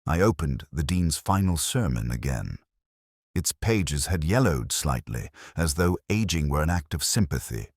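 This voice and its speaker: A man performs a melancholy, world-weary detective narrating his own case in a radio mystery. The voice is extremely low, with plenty of rise and fall across solemn, measured sentences. It is recorded dry and close, with a reflective, literary air. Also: sing-song, no room sound, exaggerated voice. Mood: brooding, reflective. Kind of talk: scripted first-person narration of a brooding detective drama.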